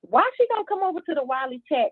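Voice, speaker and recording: A woman's voice: high-pitched, lively intonation, fast, dry recording. A woman calling in to a live show, sassy and laughing as she talks. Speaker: caller. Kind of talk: casual phone call-in. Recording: tinny phone line.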